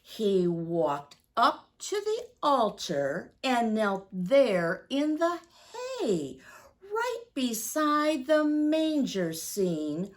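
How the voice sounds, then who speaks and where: A woman, speaking deliberately and expressively to young children as a story-time teacher. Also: fairly high pitch, big pitch swings. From United States, Midwest.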